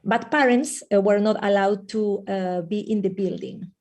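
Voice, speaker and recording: A woman from Peru who teaches early childhood, talking in a webinar conversation with many fillers and pauses over a video call. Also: mid-range voice, steady tone, darkish tone. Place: lives in the Netherlands.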